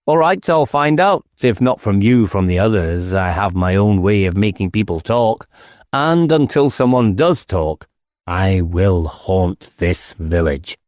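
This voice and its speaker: A man's voice: fairly low pitch, expressive, measured pace, darkish tone, no echo, studio quality. A man voicing a dogged, blunt police inspector in an audiobook, ruthless and insistent, as if always interrogating. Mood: threatening. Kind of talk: audiobook character acting.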